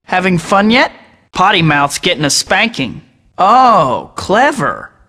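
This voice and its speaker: A man's voice: high-pitched, very animated, slow pace, pushed and bright, big-room sound, full character voice. An actor voicing a young male superhero who tosses out sarcastic taunts in a video game. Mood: mocking. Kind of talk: game voice clips.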